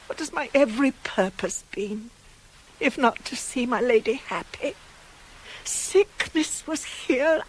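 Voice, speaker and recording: High, swooping, pleading old nurse. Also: female voice, low-quality recording. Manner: pleading, self-justifying, heightened. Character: devoted, pleading older nurse, defensive servant to queen. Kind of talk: 1980s radio drama, Greek tragedy. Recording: faintly hissy radio play cassette.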